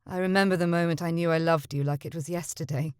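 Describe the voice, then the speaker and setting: A woman voicing a young gentleman suitor in an audiobook, speaking calmly and softly. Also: on the low side, fast, clear, resonant tone, dry recording, clean studio sound, pitched below the performer's own voice, natural voice. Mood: tender. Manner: calm, even, soft-spoken. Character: earnest young gentleman suitor, calm and tender. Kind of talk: audiobook character voice.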